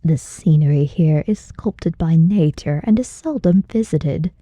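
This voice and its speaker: A woman voicing a cold, aloof male martial arts master in an audiobook, slow, measured and commanding. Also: sits low, warm tone, dry recording, voice pushed down. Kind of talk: audiobook character voice.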